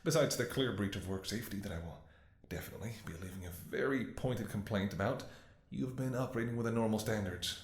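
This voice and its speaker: A man's voice: fairly low pitch, natural voice. Man acting a devoted, desperate doctor, roomy echo. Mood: brisk, professional. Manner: halting, stammering, emotional delivery. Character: devoted, desperate male doctor tending infected lover. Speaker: voice actor in an audio roleplay. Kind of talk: ASMR dramatic roleplay monologue. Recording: roomy, echoing sound.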